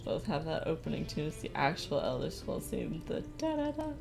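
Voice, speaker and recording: A young woman chatting casually on a livestream, soft-spoken and rambling, often laughing. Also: low voice, sing-song, fairly quick, gravelly. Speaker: streamer. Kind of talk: casual livestream chat while drawing. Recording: faint music behind. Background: faint background music.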